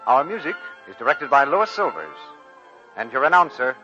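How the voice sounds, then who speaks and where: An American man announcing in formal, clearly enunciated 1940s radio style from a script. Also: medium pitch, very animated, normal speed. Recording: dull 1940s radio, loud music behind.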